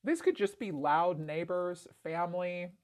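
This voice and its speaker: A woman doing a casual tarot reading, congested and a bit raspy, talking in a chatty way full of "like" fillers. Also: lowish voice, measured pace, darkish tone. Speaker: tarot reader.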